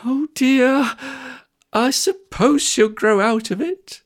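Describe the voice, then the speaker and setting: A man's voice: extremely high pitch, slow pace, voice pushed up. A man voicing a weary, fretful mother in a told story, musing worriedly to herself. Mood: fretting.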